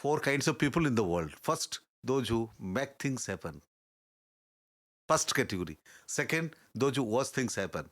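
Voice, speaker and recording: Older man, mid-pitched, earnest guest who pauses often to find his words. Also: sing-song, a bit bright. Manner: halting, searching for words, earnest and advising. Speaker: interview guest. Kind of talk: reflective storytelling in conversation.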